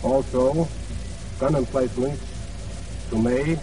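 A man's voice: mid-range voice, steady tone, dark tone, lightly acted. An actor playing a dutiful signalman reading out a message word by word in a 1940s radio drama. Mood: concentrating. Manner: halting, word-by-word delivery. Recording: muffled, distant, noisy old radio recording.

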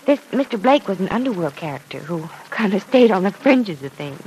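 Actress as a kind young heroine in an old radio serial; earnest, explanatory, mid-range voice. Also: female voice, medium pitch, big pitch swings, a little fast, a little mellow, a little hiss or hum, natural voice, poor audio. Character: Penny Gibbs, a kind, well-off young woman. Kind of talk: 1940s radio drama acting.

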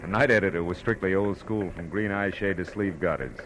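Casting: man playing a hard-boiled noir gumshoe, low-pitched, clipped and world-weary, vintage radio sound with prominent music. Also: a little fast, close to an everyday voice. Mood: brooding, tense. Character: hard-boiled male private detective, wry and dogged. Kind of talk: 1940s radio drama acting, noir narration.

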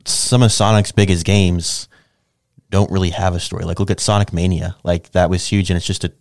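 A man co-hosting a podcast in casual banter, talking in a rambling, halting way full of "like". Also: low-pitched, little pitch movement, darkish tone, no room sound, clean studio sound. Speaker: podcast co-host. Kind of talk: casual banter about video game movies.

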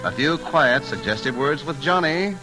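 A man tells a scripted tale in vintage radio fashion. His slightly high voice moves animatedly and wryly, with clear music underneath. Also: expressive, moderate pace, low-quality recording. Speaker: narrator. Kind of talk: scripted storytelling narration, old-time radio.

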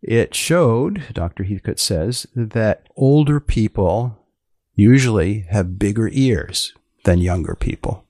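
Deadpan man with a creaky voice. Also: on the low side, no room sound, clean studio sound. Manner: deadpan, deliberate. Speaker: podcast host. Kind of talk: dry, measured interviewing on a science humor podcast.

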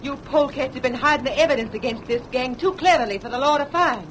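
A woman's voice: high-pitched, fast talker, a little hiss or hum, natural voice, low-quality recording. A young actress playing a spirited frontier woman, in a 1940s radio drama. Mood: scornful. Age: young adult.

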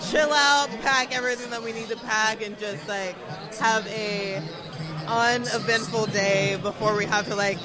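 A woman's voice: a little high, fairly even intonation, measured pace. Effusive American woman, music and crowd behind. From Florida, US. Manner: effusive, emphatic, repeats herself. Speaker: cosplayer being interviewed. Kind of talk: casual excited interview chat. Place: busy fan convention.

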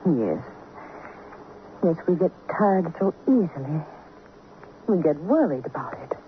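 A woman's voice: lowish voice, sing-song, takes it slowly. Patronizing female nurse, saccharine coddling delivery, muffled and noisy vintage radio drama recording. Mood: cooing. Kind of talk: 1950s radio drama acting.

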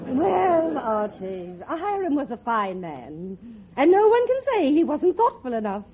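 An actress playing a genteel, coy and wary older widow in a 1940s radio comedy. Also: female voice, sits high, wildly swooping pitch, measured pace, slight background noise, heavily acted. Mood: wistful, genteel. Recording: dull old radio recording, audience murmur.

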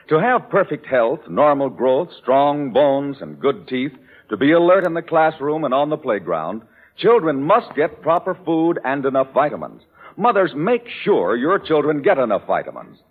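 Husky American radio pitchman, very animated intonation. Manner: earnest, instructive sales pitch. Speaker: commercial announcer. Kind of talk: old-time radio advertisement read. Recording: narrow, phone-like old radio recording.

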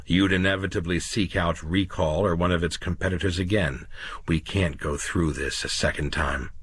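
A level, businesslike official speaks without malice in a very low, husky voice, as a man reads him. The delivery stays steady and matter-of-fact, recorded close and dry. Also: normal speed, no echo. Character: calm official man, a police voice speaking into a mind.

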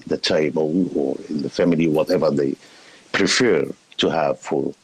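Slow, husky deep-voiced man. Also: rough recording. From Palestine. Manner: slow, hesitant, many fillers, laughs often. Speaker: guest, shop owner. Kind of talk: podcast interview.

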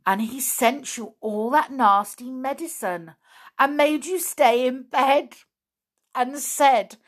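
A woman voicing a kind, gentle young male rabbit who talks softly and earnestly. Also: sits high, slow, pitched above the performer's own voice, heavily acted. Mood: giggling. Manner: giggly, soft and earnest.

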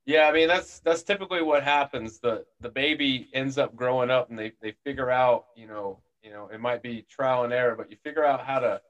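A man's voice: mid-range voice, fairly quick, hardly any pauses, a bit bright, dull audio. A man hosting an interview, asking questions in an upbeat, chummy way with frequent fillers. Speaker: interview host. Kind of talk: casual interview.

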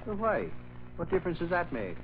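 Blustery high-voiced reporter, wildly animated. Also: male voice, brisk. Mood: animated, gossipy. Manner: blustery, exasperated, colloquial. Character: excitable, blustery male newspaper reporter with slangy talk. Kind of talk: old-time American radio drama acting. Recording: muffled, hissy old radio recording.

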